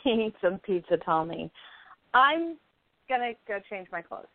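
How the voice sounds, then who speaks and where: On a thin, phone-like, dry recording, a woman acts a wry, shy female lead. She speaks slowly and hesitantly, in radio play style. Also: medium pitch, very animated, dry recording. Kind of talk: amateur radio play acting.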